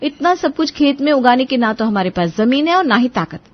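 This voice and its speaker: High-pitched, nagging young daughter-in-law voiced by a storyteller. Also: female voice, lively intonation, a little fast, dull audio. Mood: sarcastic, complaining. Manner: sarcastic, complaining, emphatic. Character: sharp-tongued, exasperated, scheming young daughter-in-law. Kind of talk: animated Hindi moral story dialogue.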